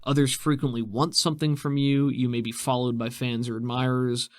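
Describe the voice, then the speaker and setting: A man's voice: medium pitch, level tone, a little fast, no room sound, clean studio sound. A man running a tabletop role-playing game, narrating scenes and explaining rules in a conversational, explanatory way. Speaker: game master. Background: music.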